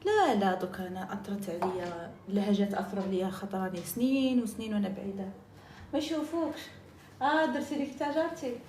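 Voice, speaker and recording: A woman's voice: medium pitch, fast, a little mellow, reverberant, slight background noise. A woman with an Algerian accent chatting casually on a live stream, jumping between topics and mixing in French and English words.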